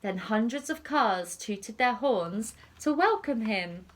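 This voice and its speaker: A woman with a fairly high voice reads a children's story very slowly. She phrases each line deliberately and expressively, as a primary school teacher does for a young class. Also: expressive.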